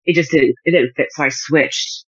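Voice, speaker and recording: Counselor from Uganda, reflective, measured, muffled dry recording. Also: medium pitch, husky, no echo. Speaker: counselor and guest. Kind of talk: casual conversation.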